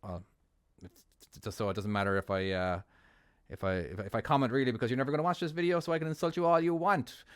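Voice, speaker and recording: A man's voice: medium pitch, fairly even intonation, quick pace, clean tone, no room sound, clean studio sound. A man with an Irish accent hosting his own channel, chatting casually and laughing often while narrating a truck-driving game. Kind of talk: casual gameplay commentary.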